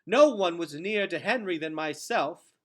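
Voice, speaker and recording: A proud, tense young female royal from a fantasy storybook, read by a man. The voice is raised in pitch, tight and emphatic. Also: animated, normal speed, a bit bright. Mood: indignant.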